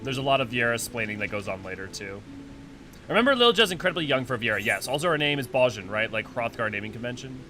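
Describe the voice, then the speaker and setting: A man's voice: medium pitch, big pitch swings, fast, pushed and bright. A man hosting a lore commentary, chatting casually, thinking aloud and reading descriptive text. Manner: chatty, thinks aloud with fillers and self-corrections. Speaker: lore channel host. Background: music.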